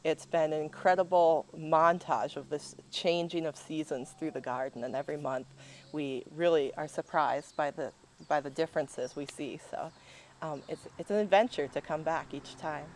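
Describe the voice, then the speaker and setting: A woman's voice: low-pitched, steady tone, moderate pace, slight background noise. A woman being interviewed, talking as a volunteer program coordinator. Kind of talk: on-camera interview.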